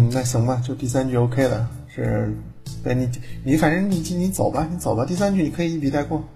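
A man's voice: mid-range voice, fairly even intonation, brisk. A man chatting casually as a voice director, teasing and often repeating himself. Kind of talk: casual bantering chat. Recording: clear music behind the voice. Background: background music.

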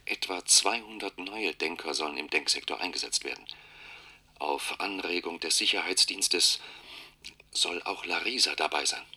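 An actor playing a secretive informant in a German radio drama, terse and conspiratorial, with a husky, forceful voice. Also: male voice, low voice, moderate pace, heavily acted. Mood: urgent, secretive. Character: secretive male informant passing on grave warnings.

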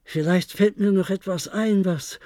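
An actor playing a weary, pensive old man in a German radio play, speaking in a halting, deliberate way. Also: high-pitched, fairly even intonation, mellow, dry recording, studio quality. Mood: weary, courteous. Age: older. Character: weary old former diplomat, pensive and insistent.

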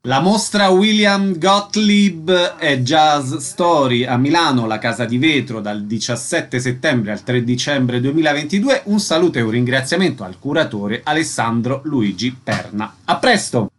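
A man speaks as a radio host and interviewer. His intonation is animated and moves widely as he introduces items and asks questions. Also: medium pitch, measured pace, few pauses.

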